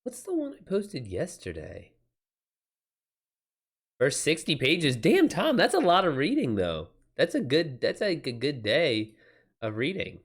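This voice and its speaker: A man's voice: fairly high pitch, huge pitch swings, a little slow, breathy edge. Casual, slangy man on a livestream. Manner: informal, frequent swearing, slangy; rambling, retells exchanges in quoted back-and-forth. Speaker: author livestreaming and chatting with viewers. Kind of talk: casual livestream chat with anecdotes.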